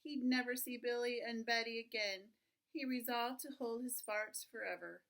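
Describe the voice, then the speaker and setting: A woman reading a children's book aloud expressively, with drawn-out emphasis and chatty asides. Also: fairly high pitch, fairly even intonation, fairly bright tone. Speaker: reader of a children's picture book.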